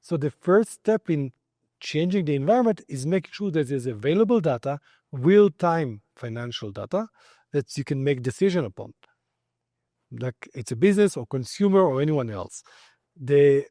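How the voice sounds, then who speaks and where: A man's voice: medium pitch, warm tone, dry recording, clean studio sound. A middle-aged man with an Israeli accent, giving explanatory answers as an expert in an interview. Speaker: company founder and credit expert interviewee.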